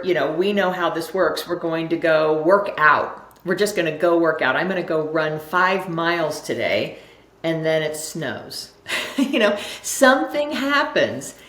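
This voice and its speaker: A woman with a slightly low voice talks like a motivational coach being interviewed. She tells stories conversationally and leans on repeated phrases to drive points home. The sound is roomy and echoing. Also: a little slow, a bit bright. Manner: emphatic, repeats phrases for effect. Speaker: interview guest, self-development coach. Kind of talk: conversational motivational explanation with anecdotes.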